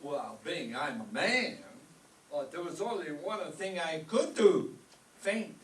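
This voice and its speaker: Man playing a slow, gloomy, deadpan maestro. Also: on the high side. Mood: deadpan, wry. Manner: deadpan, deliberate punchline delivery. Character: hard-up, gloomy, wisecracking male music maestro neighbour. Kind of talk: live old-time radio comedy acting. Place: echoey room, mic at a distance. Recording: roomy, distant live stage recording, faint noise.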